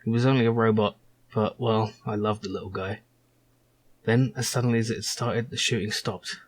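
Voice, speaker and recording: A man's voice: fairly low pitch, steady tone, moderate pace, lightly acted. A man narrating as a young male survivor telling his own story in a wry, reflective storybook style.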